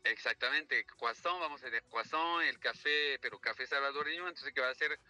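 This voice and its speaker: Guy on radio, spain-style with tinge, really bright voice, pausing for words, bit of music. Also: mid-range voice. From France. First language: French. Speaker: interview guest from the French community. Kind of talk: casual radio interview answers.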